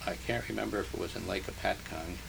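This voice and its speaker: An older man speaks in a low, gravelly voice with a flat, even delivery, pausing often and filling gaps as he searches his memory. The noisy archival tape hisses clearly behind him. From Hungary, raised in New Jersey. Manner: slow, halting, many pauses and fillers. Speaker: interviewee. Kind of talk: oral history interview.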